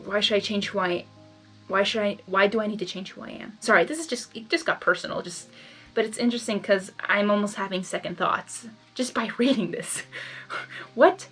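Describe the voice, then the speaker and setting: A woman's voice: mid-range voice, a little fast, a bit bright, breathy edge, soft background music. A woman reading a story aloud, with candid, rambling and informal personal asides. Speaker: fan fiction reader and commentator.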